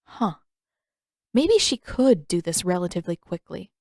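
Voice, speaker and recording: A woman's voice: lowish voice, big pitch swings, measured pace, darkish tone, dry recording, clean studio sound. A woman reading scripted fiction aloud as a story narrator. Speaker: fan fiction author and narrator.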